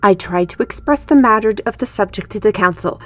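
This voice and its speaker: A woman's voice: medium pitch, a little fast, fairly bright tone, no echo, natural voice. A woman playing a defiant, sardonic young artist with magical powers in a fantasy audio drama, speaking formally and pointedly.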